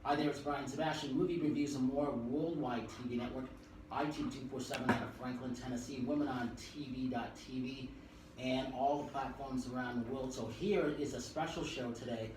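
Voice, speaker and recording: A male talk show host giving a brisk broadcast intro and trading teasing banter with his round-table guests. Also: medium pitch, steady tone. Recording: echoey room, mic far off, noisy.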